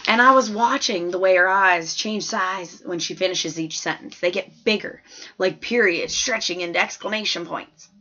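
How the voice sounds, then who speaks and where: A woman's voice: on the low side, moderate pace, pushed and bright. A woman voicing a plain-spoken, observant fourth-grade girl who tells her own story in a chatty, folksy, emphatic way. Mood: chatty, matter-of-fact. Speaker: audiobook narrator. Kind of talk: scripted first-person story narration. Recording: slightly dull, lo-fi audio.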